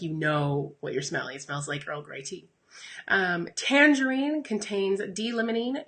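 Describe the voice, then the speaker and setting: A woman in her thirties talking casually on a live stream, her voice a little raspy and congested from a recent illness, addressing her viewers directly. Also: lowish voice, bright, forceful tone. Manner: chatty and upbeat, addresses viewers directly with "you guys" and "okay?". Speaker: essential oils educator streaming live. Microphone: phone or webcam for live stream.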